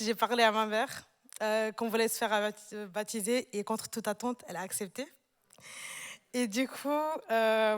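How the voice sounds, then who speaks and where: A woman in her twenties from Portugal giving a personal church testimony on stage, hesitant, with many pauses and fillers. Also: on the high side, smooth, big-room sound. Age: 20s. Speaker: newly baptized church member. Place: large church hall.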